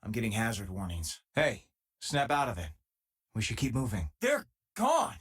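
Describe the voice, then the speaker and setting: A man playing a loyal, easygoing former miner and spaceship crewmate in a video game, with a husky, casual, wry delivery. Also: medium pitch, a bit bright, full character voice. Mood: urgent, shouting. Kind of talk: video game companion dialogue.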